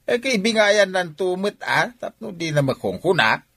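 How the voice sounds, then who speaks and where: A man's voice: high-pitched, big pitch swings, moderate pace, a bit bright, no echo, studio quality, heavily acted. A man with an Ilocano accent playing a conniving, hesitant older father in a radio comedy-drama. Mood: explaining.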